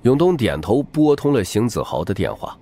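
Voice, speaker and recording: A man's voice: mid-range voice, very animated, moderate pace, warm tone. A man reading a novel aloud as a scripted audiobook narrator.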